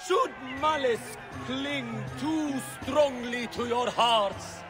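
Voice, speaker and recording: A solemn high priest who speaks in a very high, strained voice and draws out every word at a crawl. He proclaims a night ritual over clear music and a crowd in a video game. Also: heavily acted. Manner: slow, solemn, declaiming. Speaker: high priest leading a rite.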